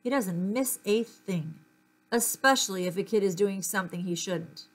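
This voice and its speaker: Female narrator for a children's chapter book, medium pitch, expressive read-aloud. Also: moderate pace. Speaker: story reader.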